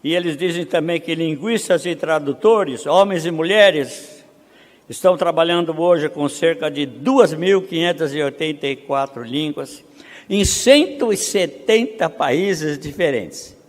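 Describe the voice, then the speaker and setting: A man from Brazil speaking at a missionary conference, reading statistics slowly and building to emphatic rhetorical questions. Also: a little high. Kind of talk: conference preaching. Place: seminary auditorium. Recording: long hall reverb.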